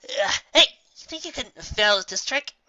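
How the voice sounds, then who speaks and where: A woman's voice: medium pitch, animated, normal speed, sharp tone, dry recording, lo-fi sound, pitched above the performer's own voice. A woman voicing a vain, casual tomboy pegasus prankster in a storybook. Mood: playful challenge.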